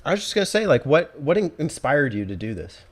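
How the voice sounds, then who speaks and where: A man's voice: a little high, very animated, fast. A man hosting a podcast, chatting casually with his guest. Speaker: podcast host. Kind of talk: casual interview chat.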